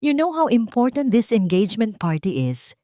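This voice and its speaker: A woman's voice: a little high, sing-song, normal speed, mellow, dry recording, studio quality, pitched above the performer's own voice. A woman voicing a scheming young stepmother who speaks sweetly but with threat underneath.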